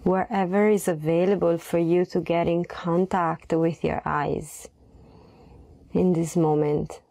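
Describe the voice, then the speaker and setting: A woman leading a guided meditation in a soft, gentle voice, unhurried and pausing between phrases. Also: fairly low pitch, little pitch movement, vocal fry, no room sound.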